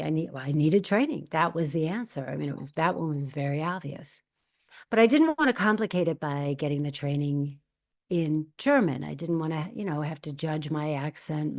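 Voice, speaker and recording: A woman's voice: lowish voice, creaky. A woman in her fifties, an actress, telling her story in a candid, reflective interview. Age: 50s. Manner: candid, reflective, quotes her own past thoughts. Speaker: actress and guest.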